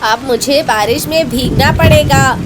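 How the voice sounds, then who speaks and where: Casting: work-shy male cartoon crow done by a woman, high-pitched, slow and apologetic. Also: heavy background noise. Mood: remorseful. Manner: remorseful, pleading. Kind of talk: cartoon story character voice.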